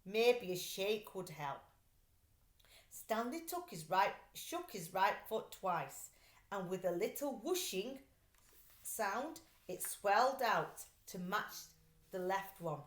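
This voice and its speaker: A woman reading a children's storybook aloud like a teacher, in an expressive, deliberate way. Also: medium pitch. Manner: animated, deliberate storytelling delivery. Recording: roomy, echoey sound.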